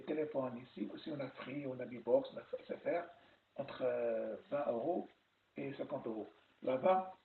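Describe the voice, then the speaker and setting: Animated man, tinny echoey recording. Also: on the high side. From France. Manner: animated, repeats himself and restarts often. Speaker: vlogger giving advice on moving abroad. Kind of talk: casual advice talk. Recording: echoey room, phone-like lo-fi sound, faint hiss.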